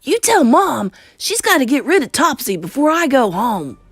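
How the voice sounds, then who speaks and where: A woman's voice: high-pitched, sing-song, measured pace, dry recording, soft background music, voice pushed up, heavily acted. A woman voicing a cheerful, carefree little boy who has been turned into a mouse, in a storybook. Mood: insistent. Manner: gleeful, carefree.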